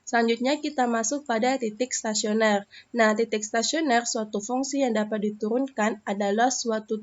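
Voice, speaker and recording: A young woman from Indonesia, a math student, explains a lesson step by step in a screen recording, pausing often with hesitations. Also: a little high, muffled recording.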